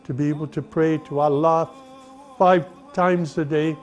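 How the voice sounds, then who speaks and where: A middle-aged man from the United States, earnestly telling his own life story at an unhurried pace, with pauses and restarts. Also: fairly high pitch, steady tone. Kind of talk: personal testimony, reflective storytelling. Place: Saudi Arabia. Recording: soft music behind the voice.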